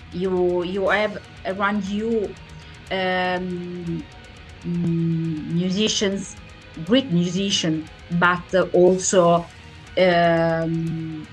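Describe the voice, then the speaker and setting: A woman interviewer who is not a native English speaker, asking questions haltingly as she searches for words. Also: on the low side, rough recording.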